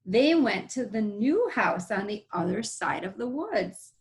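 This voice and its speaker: A woman teacher reading a picture book aloud, pausing to explain things simply to young listeners. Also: mid-range voice, big pitch swings.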